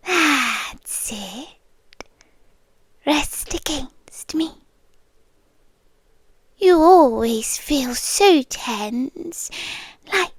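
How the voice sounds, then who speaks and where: Slow, whispery British monster girlfriend, acted by a woman. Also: high-pitched, no room sound, exaggerated voice. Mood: soothing, menacing. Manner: breathy half-whispered, intimate, slow and deliberate. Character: possessive centuries-old female spider creature, doting yet menacing girlfriend. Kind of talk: ASMR roleplay. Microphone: close dry microphone.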